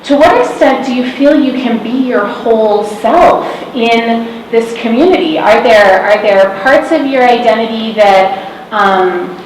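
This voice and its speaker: A woman's voice: a little high, measured pace, a little hiss or hum. A woman moderating a panel in a school hall, asking questions in a thoughtful, hesitant way. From Massachusetts, USA. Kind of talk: panel discussion. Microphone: distant room mic. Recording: echoey hall from far off.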